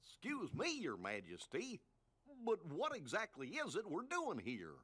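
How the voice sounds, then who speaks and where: A man's voice: high voice, wildly swooping pitch, a little slow, fairly bright tone, husky, exaggerated voice. Goofy drawling cartoon dog man. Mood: puzzled. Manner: drawling, puzzled, polite. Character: goofy, good-natured, slow-witted cartoon dog man. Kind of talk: cartoon game cutscene acting.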